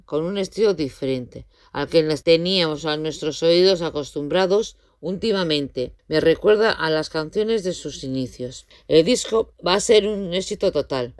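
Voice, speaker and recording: A woman's voice: sits low, no echo, clean studio sound. A woman delivering a prepared fan message. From Basque Country, Spain.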